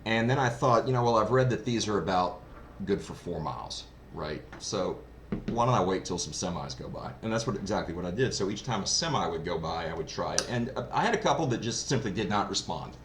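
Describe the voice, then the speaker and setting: Deep-voiced man chatting over noisy background. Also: expressive, a little fast, a bit bright. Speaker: hobbyist product reviewer. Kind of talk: casual first-hand review vlog. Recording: clearly noisy background ambience.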